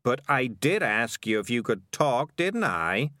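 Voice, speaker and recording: A man's voice: medium pitch, very animated, a little slow, pushed and bright, no echo, studio quality. A man voicing a self-important, sulky male talking animal, pedantic and defensive, in an audiobook story.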